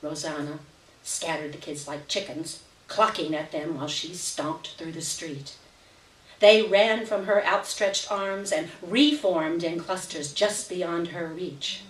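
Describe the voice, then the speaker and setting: A woman reads literary fiction aloud in a fairly low voice. She delivers scripted narration as a live stage reading. The sound is echoey and somewhat far from the microphone. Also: steady tone, slow pace. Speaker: reader of a short story at a live event. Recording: roomy echo, distant mic at live event.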